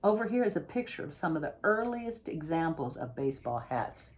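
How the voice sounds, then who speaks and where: A woman with a low-pitched voice reads a picture book to children. She is an author presenting the work expressively, speaking straight to her young listeners and explaining things as she goes. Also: very animated, brisk, a little hiss or hum. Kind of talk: picture book read-aloud with explanatory asides.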